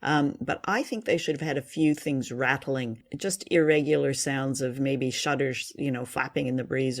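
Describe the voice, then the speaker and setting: A woman co-hosting a casual review chat, offering her opinions in a measured, thoughtful way. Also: very deep voice. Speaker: podcast co-host. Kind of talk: casual review chat about an old radio play.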